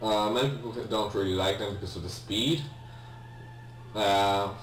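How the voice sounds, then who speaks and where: Young polish guy talking through a sub game, lots of ums, deep voice, echoey room far from the mic, faint hum. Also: steady tone, normal speed. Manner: hesitant, many ums and restarts, apologetic. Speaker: let's play gamer. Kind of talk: casual gameplay commentary.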